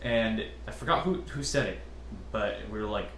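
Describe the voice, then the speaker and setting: A man from California with a generally deep voice, hosting a casual question-and-answer chat and talking in a hesitant, easygoing way with lots of fillers. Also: moderate pace, fairly bright tone. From California, USA. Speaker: channel host. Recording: roomy echo, faint noise.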